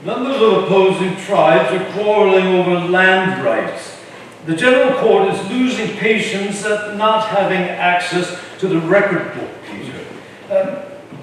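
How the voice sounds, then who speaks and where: A man's voice: sits high, lively intonation, unhurried, a bit bright, slight background noise. A man playing a formal colonial chronicler in a staged reading, recorded from far off in an echoey hall. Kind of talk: formal scripted reading of a historical drama. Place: live stage in a reverberant hall.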